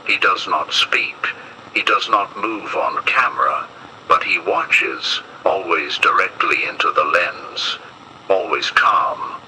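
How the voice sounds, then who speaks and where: A man reading scripted analog-horror narration as an official warning, in a grave, ominous and measured manner. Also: low voice, noisy background.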